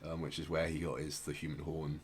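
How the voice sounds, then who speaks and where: A man with a low voice explains things casually as a podcast host. There is faint noise behind him. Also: monotone, a little fast.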